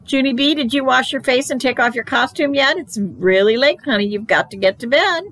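A woman's voice: high voice, a little fast, a bit bright, pitched above the performer's own voice. A woman voicing an exasperated mother who scolds her young daughter in a firm, admonishing way.

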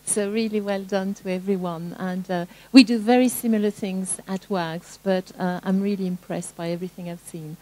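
A woman's voice: medium pitch, fairly even intonation, moderate pace, hardly any pauses, a little mellow, poor audio. A woman from London introducing herself in a workshop in a conference room, friendly and laughing a little as she talks. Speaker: workshop participant. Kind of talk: self-introduction.

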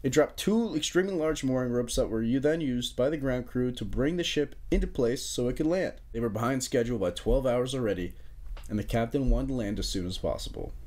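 Young american guy doing a casual explainer voiceover, medium pitch, jokey and informal. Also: darkish tone. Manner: informal, wry asides. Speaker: narrator of an amateur history explainer video. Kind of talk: casual, joking scripted narration.

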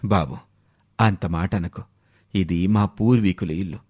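A man's voice: low voice, a little slow, darkish tone, breathy edge, voice pushed down. A man voicing an old, meek father-in-law in debt, pleading humbly. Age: older.

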